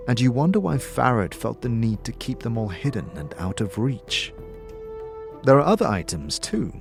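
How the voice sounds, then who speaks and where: A man reading scripted fantasy narration in the second person, as a game master telling a story. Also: medium pitch.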